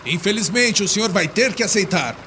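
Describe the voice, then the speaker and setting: Woman acting as a stubborn officious man, bright sharp tone, formal audiobook dialogue, faint noise behind. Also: medium pitch, expressive, a little fast, pitched above the performer's own voice, natural voice. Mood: officious, insistent. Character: fussy, nervous, stubborn middle-ranking male bureaucrat.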